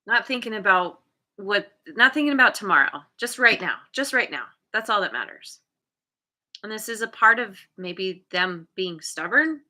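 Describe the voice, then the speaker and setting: A woman's voice: medium pitch, expressive, moderate pace, pushed and bright. A woman giving a casual tarot reading, talking in a hesitant way with many fillers and restarts and laughing at herself now and then. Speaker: tarot reader.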